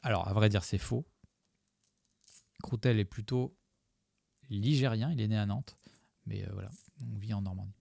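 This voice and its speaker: A man's voice: lowish voice, fairly even intonation, normal speed, gravelly, long pauses, a little mellow, dry recording. Rambling jokey man streaming live. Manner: rambling, jokey, thanks followers. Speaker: streamer commenting his own video. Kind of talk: live commentary to chat.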